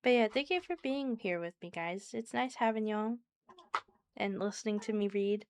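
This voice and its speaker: A woman streamer chatting casually with her viewers, with a soft, soothing voice and a chatty, giggly manner. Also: mid-range voice, moderate pace, clean tone, no room sound. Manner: chatty and giggly, talks to chat by name.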